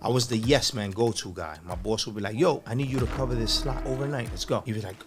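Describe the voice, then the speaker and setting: A man from Puerto Rico, a guest DJ, telling his story in a relaxed, conversational way. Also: mid-range voice, fast talker, over music. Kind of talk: casual interview storytelling.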